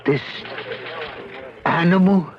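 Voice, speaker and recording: A middle-aged man in a 1970s radio drama, playing a grieving father who narrates his plan for revenge in a slow, intense way. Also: high-pitched, noisy background. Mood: grim. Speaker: lead and narrator. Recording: dull old radio broadcast with music.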